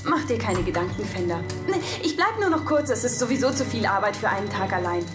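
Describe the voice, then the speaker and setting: An actress playing a young heroine in a radio drama, frank and a bit uneasy. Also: female voice, mid-range voice, very animated, fast, over music, close to an everyday voice. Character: young woman, brave but uneasy heroine. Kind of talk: German radio drama acting.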